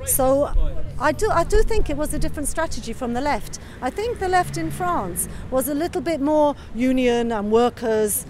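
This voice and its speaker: A woman's voice: high-pitched, animated, a little slow. A woman activist speaking earnestly in a street interview. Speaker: anti-racism activist. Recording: crowd noise outdoors.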